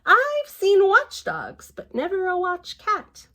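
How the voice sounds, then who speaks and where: Woman putting on an impressed storybook policeman, high-pitched. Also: wildly swooping pitch, slow pace, bright, forceful tone, exaggerated voice. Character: impressed male policeman, admiring and emphatic. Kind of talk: children's storybook reading.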